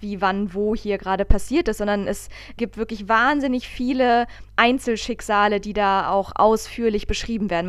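Clear, dry-sounding woman chatting casually. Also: medium pitch, dry recording. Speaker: podcast host. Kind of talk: casual conversational podcast talk.

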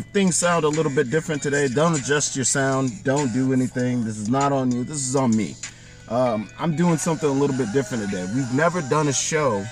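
A man co-hosting a casual podcast from a car, rambling and joking at himself, with his voice going hoarse. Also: mid-range voice. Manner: rambling, self-mocking, laughs often. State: losing his voice. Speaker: co-host.

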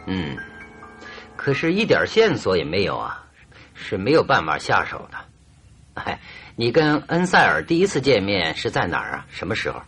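An actor playing a composed, inquisitive private detective in a radio drama, asking probing, measured questions with wry interjections. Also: male voice, lowish voice, huge pitch swings, a bit bright, faint music behind.

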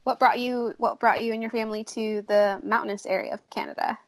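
A woman from Idaho hosts a podcast interview with an upbeat, encouraging manner. Her voice sits a little high. The recording is dry and close, with no room sound. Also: little pitch movement, fairly quick, no room sound. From United States, southern Idaho. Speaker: podcast host.

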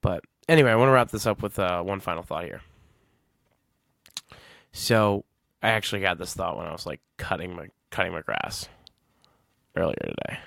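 A man's voice: low-pitched, animated, normal speed, creaky, long pauses, a bit bright, dry recording, clean studio sound. A man in his twenties hosting a solo podcast, talking casually and reflectively, rambling and often correcting himself. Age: 20s. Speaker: podcast host.

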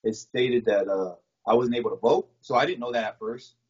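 A man's voice: medium pitch, fairly quick, a little mellow, slightly rough. A man from American Samoa hosting a casual interview from a room at home, talking in a laid-back, slangy way. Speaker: podcast host. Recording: slightly dull lo-fi audio.

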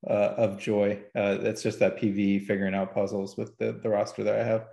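A man talking casually as a podcast guest about statistics, often halting and correcting himself as he goes. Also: lowish voice, monotone, gravelly, mellow. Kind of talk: casual discussion of game statistics. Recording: roomy, echoey sound.

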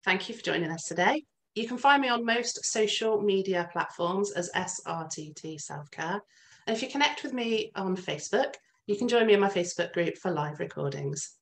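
A woman's voice: medium pitch, animated, normal speed. A woman hosting an interview podcast, friendly and welcoming, with a few uh fillers. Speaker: host.

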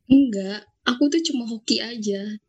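A woman's voice: a little high, normal speed, a little mellow, husky. A woman, chatting casually and informally as a podcast guest. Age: 20s. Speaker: podcast guest, law student. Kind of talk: casual conversation.

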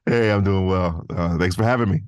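A man's voice: low-pitched, a little fast, clean tone, dry recording. An American man talking casually as a guest on a podcast. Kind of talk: casual chat.

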